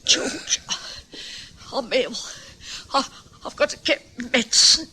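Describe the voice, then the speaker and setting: An actress playing a frail, long-suffering, ailing elderly wife in a radio drama, halting and plaintive. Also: female voice, high-pitched, animated, pushed and bright, husky, full character voice. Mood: pleading, weak. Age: older. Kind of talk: old-time radio drama acting.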